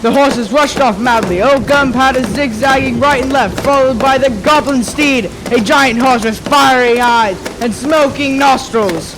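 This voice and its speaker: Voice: high-pitched, measured pace, few pauses, background noise. A young student narrating a ghostly tale for a school radio play in a formal, dramatic storytelling manner. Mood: suspenseful. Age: child or young teen.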